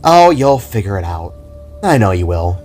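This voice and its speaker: A man's voice: fairly low pitch, sing-song, moderate pace, a little mellow, soft background music. A man voicing a playful, affectionate young woman who teases the people she likes. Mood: teasing. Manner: playful, teasing.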